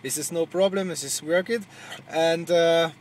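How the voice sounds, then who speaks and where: Halting Hungarian-accented man, higher-pitched, noisy radio audio. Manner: halting non-native English, repeats words. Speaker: truck driver and amateur radio operator. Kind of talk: casual radio contact chat.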